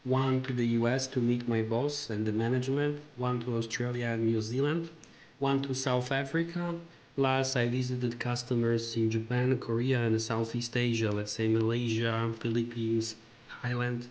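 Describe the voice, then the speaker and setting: A middle-aged man from Europe with a European accent, speaking English as a non-native language, recalling his travels in a plain, halting way for a vlog. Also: mid-range voice, fairly even intonation, a little mellow, slight background noise. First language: not English. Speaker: vlogger. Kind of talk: personal travel recollections to camera.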